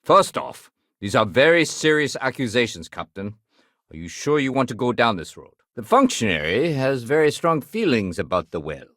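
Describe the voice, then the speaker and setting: A man's voice: mid-range voice, sing-song, moderate pace, a bit bright, dry recording, clean studio sound. An actor playing a no-nonsense, dutiful male city security sergeant in a video game, with a clipped, official, wry delivery. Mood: stern, official.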